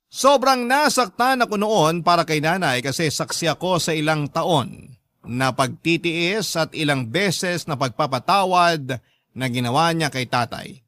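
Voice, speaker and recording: Male Filipino radio drama narrator, slightly high voice, scripted first-person storytelling, close and dry. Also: bright, forceful tone, dry recording.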